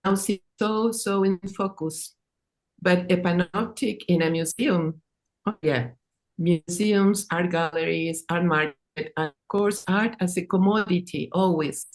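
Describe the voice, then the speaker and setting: A woman, not an English speaker, presenting her own work in a halting, informal way, repeating words. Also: on the low side. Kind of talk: informal artist talk and reading.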